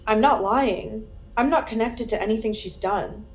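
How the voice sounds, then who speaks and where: A woman's voice: fairly high pitch, moderate pace, background noise, lightly acted. An actress playing an anxious, defensive young woman being questioned by police in an audio drama, hesitant and pleading. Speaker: actor playing an interrogated suspect.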